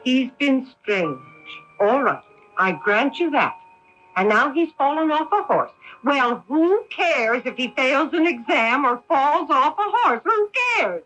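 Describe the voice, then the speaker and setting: A woman's voice: high-pitched, animated, slow, a bit bright, highs cut off, faint music behind, heavily acted. An actress playing a bitter, overwrought wife and mother in a radio melodrama, shrewish and emphatic, repeating her phrases. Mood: scornful. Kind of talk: melodramatic radio drama acting.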